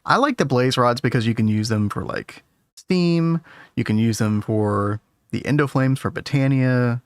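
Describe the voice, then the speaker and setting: A man streaming a game in casual, rambling talk to his chat, his voice a little hoarse. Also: mid-range voice, normal speed, no echo, studio quality. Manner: rambling, easygoing, talks to chat. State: losing his voice. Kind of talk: casual gameplay commentary.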